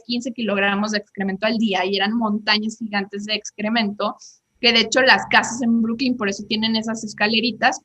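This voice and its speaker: A woman's voice: fairly high pitch, sharp tone. A woman being interviewed, explaining ideas at length with examples and frequent "eh" fillers. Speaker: guest on economics show. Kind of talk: conversational explanation.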